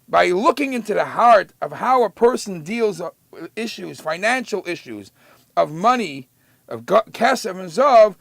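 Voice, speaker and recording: A man teaches like a rabbi. His voice sits high and has a strong gravelly creak, captured close and dry. He explains scripture emphatically, drawing out quoted verses before talking them through conversationally. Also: a bit bright, no room sound. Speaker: rabbi giving a Torah lesson.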